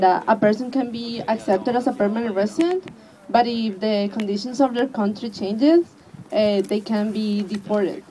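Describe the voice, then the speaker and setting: A woman speaking at an outdoor rally as a refugee advocate, earnest and hesitant, pausing often with fillers. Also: medium pitch, warm tone, poor audio. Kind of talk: rally speech.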